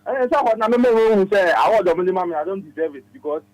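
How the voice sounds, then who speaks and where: A man calls in to a radio show. He talks very fast in a fairly high voice, stammering and repeating his words again and again. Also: lively intonation, a little mellow, no room sound, rough recording. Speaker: caller.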